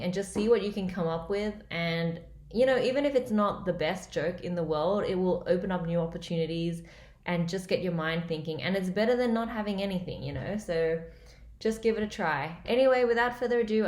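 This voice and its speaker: A woman's voice: on the low side, darkish tone. Chatty Australian woman, roomy sound. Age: young adult. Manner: chatty, loose, full of "um" and "like". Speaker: comedian vlogger. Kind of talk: casual talk to camera. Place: small room indoors. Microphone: laptop webcam at close range. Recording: roomy, echoey home recording.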